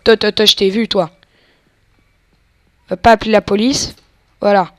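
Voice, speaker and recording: A woman's voice: mid-range voice, fairly even intonation, moderate pace, a bit bright, no room sound, studio quality. A woman with a cold giving casual, crude, joking gameplay commentary and explaining tactics to viewers as a let's play gamer. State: has a cold.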